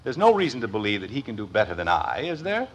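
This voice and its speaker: A man's voice: on the low side, huge pitch swings, fast, heavily acted. An actor playing a middle-aged, fussy, sarcastic father losing his patience in a vintage 1950s radio comedy. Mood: exasperated. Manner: exasperated, emphatic. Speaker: lead actor in a radio sitcom. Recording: vintage radio broadcast recording.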